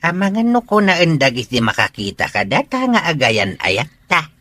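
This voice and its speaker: A man's voice: a little high, very animated, moderate pace, pushed and bright, no room sound, heavily acted. A man with a Filipino accent playing a teasing, folksy older village uncle in a radio drama. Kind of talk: Ilocano radio drama acting.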